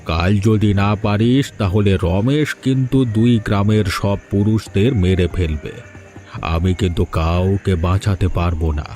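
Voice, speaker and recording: A man's voice: lowish voice, unhurried, darkish tone, faint music behind, natural voice. A man playing a stern, all-knowing mystical guardian in a village fairy-tale cartoon, speaking in a solemn, commanding way. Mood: grave warning. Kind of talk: dramatic dubbed cartoon dialogue.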